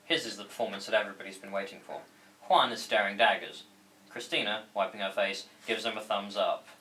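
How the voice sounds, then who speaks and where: A man's voice: fairly low pitch, fairly even intonation, fairly quick, bright, forceful tone. A man reading stage directions at a table read, informal and stumbling a little over the script. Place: indoor room. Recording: echoey room with faint noise.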